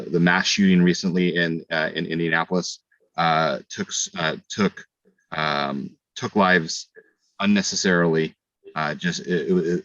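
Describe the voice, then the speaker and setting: A middle-aged man with a low voice gives remarks as a school board trustee. He speaks haltingly, repeating words as he goes. The sound is close and dry but somewhat dull. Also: fairly bright tone, dry recording. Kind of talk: remarks at a public meeting.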